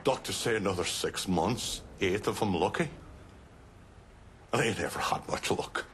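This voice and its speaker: An actor playing a profane, embittered middle-aged Irish mobster in a video game cutscene, blunt and coarse. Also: male voice, lowish voice, unhurried, a bit bright, a little hiss or hum. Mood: grim. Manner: blunt, coarse, swearing freely. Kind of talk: dramatic game cutscene acting. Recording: music behind the voice.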